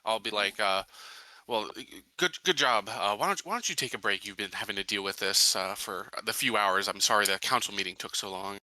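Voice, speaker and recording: A male game player with a very bright, forward voice. He talks casually and plainly, describing what his character does and says. The recording is close and dry. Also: on the low side, a little fast, dry recording.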